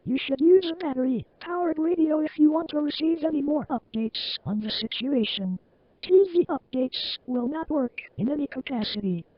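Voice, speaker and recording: A woman's voice: high-pitched, normal speed, pauses often, highs cut off, close to an everyday voice. A woman playing a formal local TV emergency alert announcer, reading an official scripted warning. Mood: official, serious.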